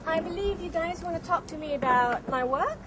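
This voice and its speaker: A woman's voice: extremely high pitch, expressive, fairly quick, a loud crowd. A woman, a radio DJ chatting casually and in a friendly way as an interview guest. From Malaysia.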